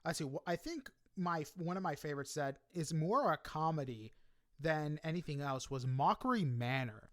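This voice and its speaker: Fairly high-voiced male interviewer. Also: animated, moderate pace, fairly bright tone. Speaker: podcast interviewer. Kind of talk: casual interview chat.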